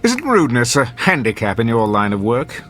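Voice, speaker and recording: An actor playing an arrogant, cynical drama critic in a radio drama, haughty and articulate. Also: male voice, mid-range voice, wildly swooping pitch, normal speed, fairly bright tone, faint music behind. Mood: droll, condescending.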